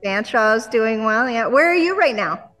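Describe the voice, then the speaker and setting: A woman's voice: fairly high pitch, animated, unhurried, a bit bright. Upbeat Canadian woman hosting an interview. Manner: upbeat, chatty. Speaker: interview host. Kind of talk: casual interview. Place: renovated church music venue.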